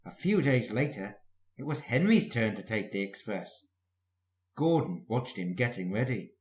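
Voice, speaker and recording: A man's voice: on the low side, animated, a little slow, darkish tone. Guy narrating a kids storybook, very up and down delivery, echoey room. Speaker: storybook reader. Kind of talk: animated scripted children's story reading.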